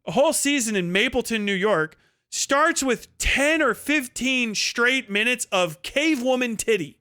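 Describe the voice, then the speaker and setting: A man's voice: high voice, pushed and bright, clean tone, no echo, clean studio sound. A man giving a casual, ranting review monologue as a livestream host, blunt, profane and exasperated, repeating points for emphasis.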